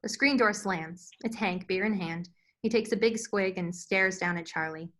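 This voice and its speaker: A woman's voice: medium pitch, moderate pace, fairly bright tone. Woman narrating a script read, stage directions, regular mid voice.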